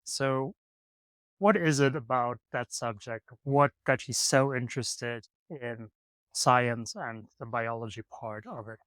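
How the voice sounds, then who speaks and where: Male podcast host, relaxed, halting delivery, mid pitch, dry close sound. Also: no echo. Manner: easygoing, halting, many "um"s. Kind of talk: casual interview chat. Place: hostel room.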